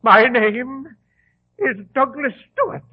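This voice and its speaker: A man's voice: very high voice, lively intonation, slow, husky, dry recording, full character voice. An actor in 1940s radio style playing a nervous, vain, grandiose English madman who rants and repeats himself. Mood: ranting, aggrieved. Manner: agitated, repeats himself, ranting. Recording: tinny, band-limited old radio broadcast.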